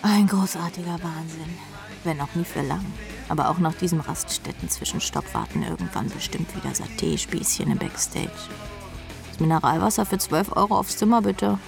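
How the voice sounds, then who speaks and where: A woman's voice: lowish voice, big pitch swings, moderate pace, gravelly. An actress playing a brash, world-weary rock drummer who tells her story in a sardonic, rambling way in a radio drama. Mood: grandiose dreaming. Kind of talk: radio drama first-person narration. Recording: music playing behind the voice.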